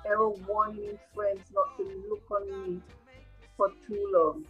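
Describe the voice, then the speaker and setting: A woman's voice: medium pitch, level tone, measured pace, a bit bright, soft background music, close to an everyday voice. Older Caribbean woman for homesick nurse-mother in a stage monologue, acted by a woman, slightly muffled call audio. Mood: wistful, hurt. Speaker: retired nurse reading a play. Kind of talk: rehearsed play reading, monologue. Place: indoor room at home, video call.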